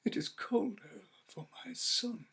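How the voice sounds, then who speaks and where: A man's voice: high voice, expressive, slow, creaky, bright, forceful tone, husky, voice pushed up, heavily acted. A man voicing an elderly grieving mother, feverish and desperately insistent, in a storybook reading. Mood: mournful. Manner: frantic, breathless crying out.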